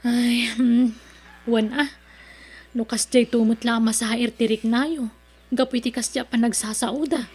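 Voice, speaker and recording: Gentle, high-voiced Ilocano woman. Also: fairly even intonation, measured pace, husky, soft background music. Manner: gentle and pleading. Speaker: humble mother. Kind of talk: Ilocano audio drama acting.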